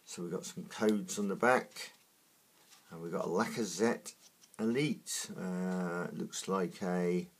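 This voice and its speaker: A man talks in a deep, croaky voice and sounds as if he has just woken up. He speaks slowly and haltingly, with lots of "uh"s, as he opens packs of cards. A faint hiss runs under his voice. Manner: slow, halting, many fillers. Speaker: trading card unboxing host. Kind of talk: casual unboxing commentary.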